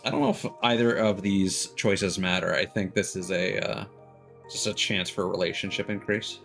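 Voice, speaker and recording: A man's voice: deep voice, steady tone, moderate pace, vocal fry, fairly bright tone, faint music behind. A man doing casual, rambling livestream chat as a game streamer, with chuckles here and there.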